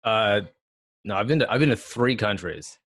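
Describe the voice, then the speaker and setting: A man from Canada with a fairly low voice co-hosts a casual chat, recorded close and dry. His talk is loose and slangy, like friends swapping stories. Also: lively intonation, moderate pace, fairly bright tone, breathy edge, no echo. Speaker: podcast co-host.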